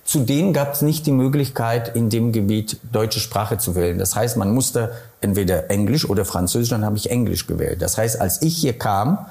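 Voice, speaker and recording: A middle-aged man from Silesia with a Polish accent, answering interview questions thoughtfully and pausing often to find his words. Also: fairly low pitch, vocal fry, darkish tone. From Poland, Silesia. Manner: thoughtful, searching for words, many hesitations.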